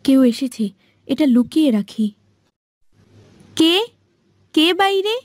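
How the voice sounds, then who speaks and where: High, mellow, innocent young girl voiced by a woman. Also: animated, slow pace, a little hiss or hum. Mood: wary. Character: puzzled, trusting young girl held as palace guest. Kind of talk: animated fairy-tale cartoon acting.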